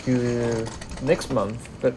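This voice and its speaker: Slow, halting man with a Hong Kong accent. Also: medium pitch, level tone, noisy background. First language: Cantonese. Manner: halting, repeats words while searching for English. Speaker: live streamer answering chat. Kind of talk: live stream Q&A chat. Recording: music playing clearly behind.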